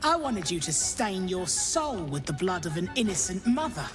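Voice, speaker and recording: A woman's voice: on the low side, big pitch swings, normal speed, over music. A woman playing a menacing villain in a video game, with a sinister, theatrical manner. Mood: mocking, sadistic. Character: menacing female villain with sadistic, mocking relish. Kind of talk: video game character acting.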